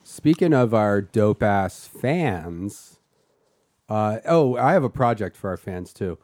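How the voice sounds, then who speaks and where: A man's voice: on the low side, very animated, takes it slowly, darkish tone, dry recording. A man from Chicago hosting a podcast, chatting in a laid-back, rambling, slangy way. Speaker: podcast host. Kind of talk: casual chat.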